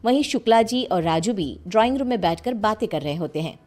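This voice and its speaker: A woman's voice: mid-range voice, expressive, a little fast, darkish tone. A woman narrating a family story as scripted storytelling.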